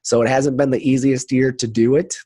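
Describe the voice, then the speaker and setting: A man's voice: medium pitch, little pitch movement, a little fast, mellow, breathy edge, dry recording. A man speaking earnestly and motivationally as a guest in a conversational interview. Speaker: podcast guest, leadership trainer.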